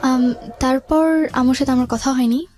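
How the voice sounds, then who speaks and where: A young woman, a radio guest, recounting her personal life plainly and haltingly with some English words mixed in. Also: high-pitched, steady tone, darkish tone. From Bangladesh. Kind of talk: personal life story told in conversation.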